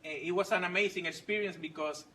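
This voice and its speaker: A man in his forties, not a native English speaker, telling his story slowly with many pauses and restarts. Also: sits high, steady tone, pushed and bright, distant mic, slight background noise. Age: 40s. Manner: halting, many fillers and restarts. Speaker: fit club member sharing his story. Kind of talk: casual personal story.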